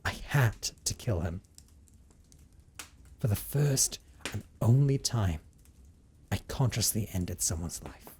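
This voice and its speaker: A man's voice: on the low side, animated, darkish tone, slight background noise. A man playing a brooding, possessive vampire recluse in an audio roleplay monologue, with formal, theatrical phrasing and a teasing streak. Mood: somber confession. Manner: formal, theatrical, deliberate phrasing with condescending endearments. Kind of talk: dramatic first-person audio roleplay monologue.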